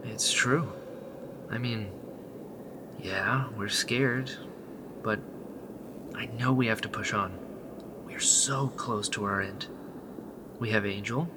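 A man playing an earnest, protective rebel leader in a sci-fi radio drama, speaking reassuringly with breathy pauses. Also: fairly low pitch, background noise. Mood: somber, determined.

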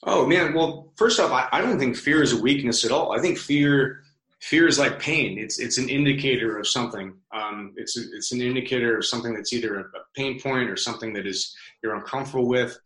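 A man's voice: mid-range voice, brisk, a bit bright. A man guesting on a podcast interview, talking casually with plenty of fillers and swearing. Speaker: guest, business founder. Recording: roomy, echoey sound.

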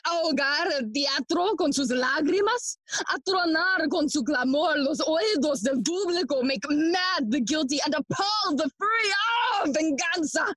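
A woman playing a bitter, grieving young prince in a bilingual classical audio play, speaking theatrical, deliberate verse. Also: high voice, expressive, fairly bright tone, dry recording. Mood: raging. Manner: theatrical, deliberate, switching between English and Spanish.

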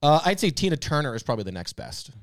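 A man's voice: fairly high pitch, big pitch swings, quick pace, no room sound, clean studio sound. A man co-hosting a casual panel show, bantering in an emphatic, playfully exaggerated way. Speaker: podcast panelist and co-host.

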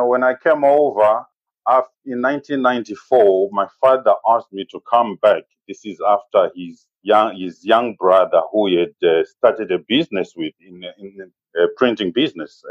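A man in his forties with a Zimbabwean accent, speaking as an interview guest in a measured, halting way and often saying "you know". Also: lowish voice, no echo, phone-quality sound. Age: 40s. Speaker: interview guest, safari business owner. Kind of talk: conversational interview, personal recollection.

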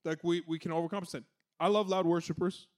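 A male pastor with a notably high-pitched voice preaches to a congregation. He has a loud voice and a conversational, energetic delivery full of playful asides. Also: fast. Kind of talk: sermon.

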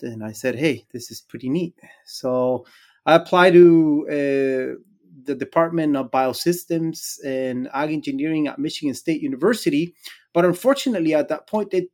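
Guatemalan-accented man, stop-and-start speaker. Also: medium pitch. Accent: Guatemalan Spanish. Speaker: interview guest, academic engineer and extension specialist. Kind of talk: conversational interview answers.

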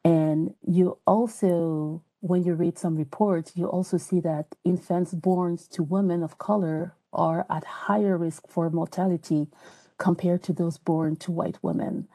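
French-accented woman with a gravelly, dark voice. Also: fairly low pitch, rough recording. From France. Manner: earnest, reflective, frequent hesitations and restarts. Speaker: podcast guest. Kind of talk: personal interview talk.

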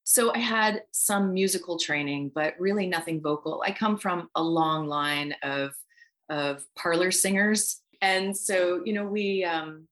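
A woman's voice: on the low side, a little slow, fairly bright tone. A woman, an actress speaking casually as an interview guest. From Ontario, Canada.

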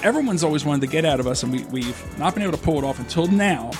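A man co-hosting a casual podcast, introducing guests in a relaxed way with lots of fillers. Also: fairly high pitch, fast talker, over music. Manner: easygoing, filler-heavy intro. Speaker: podcast co-host.

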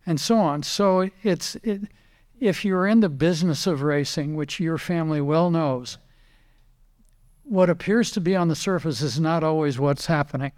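An older man and retired racing driver, a podcast guest telling animated anecdotes, quoting others and often repeating words. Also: fairly high pitch, moderate pace. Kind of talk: casual storytelling interview.

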